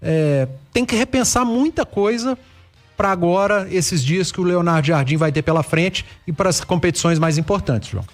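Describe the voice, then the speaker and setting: A male sports radio pundit with a fairly high voice, talking fast and breaking off to restart his phrases. Faint music plays underneath. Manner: animated, restarts mid-phrase.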